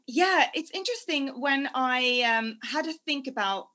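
A woman's voice: high-pitched, expressive, a little slow, pushed and bright. A woman from Norway, answering interview questions conversationally as a guest life coach. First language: Norwegian.

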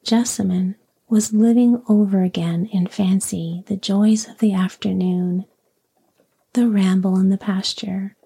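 Woman whispering a sleep story real slow, breathy, dark mellow tone with a little gravel. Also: mid-range voice. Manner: slow, soft and soothing. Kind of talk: whispered bedtime story reading, ASMR.

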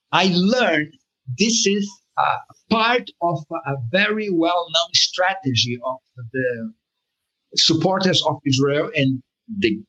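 A man's voice: high voice, fairly bright tone, husky, dull audio. A man being interviewed about his work as a cartoonist, speaking as a with many fillers and restarts. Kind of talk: conversational interview answer.

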